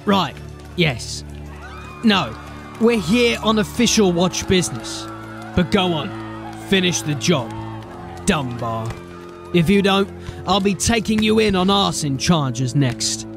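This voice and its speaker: A stubborn watch sergeant in a fantasy audio drama. His delivery is gruff and blunt, pitched fairly high for a man, with music behind him. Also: expressive, takes it slowly, pauses often, heavily acted. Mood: defiant. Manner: blunt, urgent.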